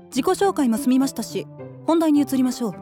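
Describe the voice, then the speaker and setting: A prim, coolly polite woman in her twenties , played by an actress in a radio drama, with a mellow, somewhat high voice. She keeps every line businesslike and composed. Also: animated, brisk, soft background music. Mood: formal. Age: 20s. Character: prim, formal 27-year-old woman rival.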